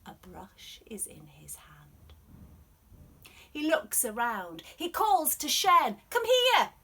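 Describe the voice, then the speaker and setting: A woman telling stories to children, explaining things gently in an unhurried, expressive read-aloud way. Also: on the high side, creaky, slight background noise. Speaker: storyteller.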